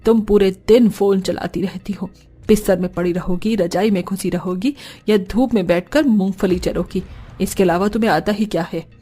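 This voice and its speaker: Woman acting a husky, scolding old mother, pitched down. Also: moderate pace, dark tone, lightly acted. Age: older. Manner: scolding, threatening. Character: scolding, exasperated elderly mother-in-law with a sharp tongue.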